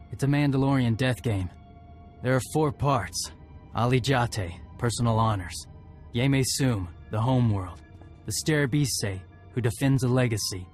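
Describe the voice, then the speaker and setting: An actor playing a serious, honor-bound tracker in a video game cutscene, talking in clipped, matter-of-fact phrases. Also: male voice, medium pitch, lightly acted. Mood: grave, explaining. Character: terse, serious male Mandalorian tracker seeking honor.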